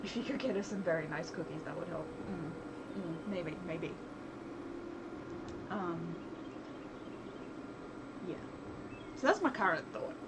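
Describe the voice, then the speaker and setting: A woman streamer and game developer chatting casually on a livestream, thinking aloud in a rambling way with soft laughs. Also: lowish voice, animated, measured pace, vocal fry, pauses often. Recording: loud background noise, vehicle sounds.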